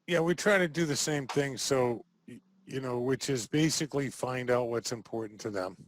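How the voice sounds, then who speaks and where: A man hosting a casual interview, chatty and quick to laugh, often ending thoughts with a questioning "right?". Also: mid-range voice, low-quality recording. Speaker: interview host.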